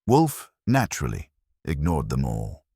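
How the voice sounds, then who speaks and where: Very deep-voiced man narrating detective fiction. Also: huge pitch swings, a little slow, creaky, warm tone, breathy edge, no echo, clean studio sound. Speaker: audiobook narrator. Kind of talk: scripted first-person detective story narration.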